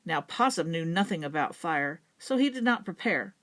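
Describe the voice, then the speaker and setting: A woman reading a children's folktale as a storyteller, with dramatic, deliberate emphasis. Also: sits low, expressive, a bit bright. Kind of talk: expressive children's folktale narration.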